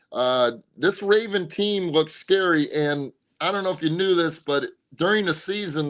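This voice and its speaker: Animated male sports podcast co-host. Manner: animated, drops pitch to stress points, frequent restarts. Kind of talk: casual sports picks chat.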